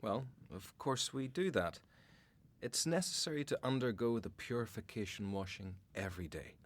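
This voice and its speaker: A man's voice: mid-range voice, lively intonation, normal speed, lightly acted. A man playing a devout, helpful member of a desert community, explaining sincerely in a radio drama. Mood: earnest. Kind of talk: religious radio drama acting.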